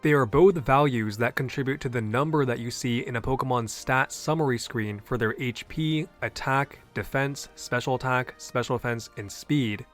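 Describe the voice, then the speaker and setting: A man's voice: medium pitch, fairly bright tone. Earnest man explaining game terms. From half Japanese. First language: English. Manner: opinionated, earnest. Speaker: YouTube host. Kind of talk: scripted explainer narration.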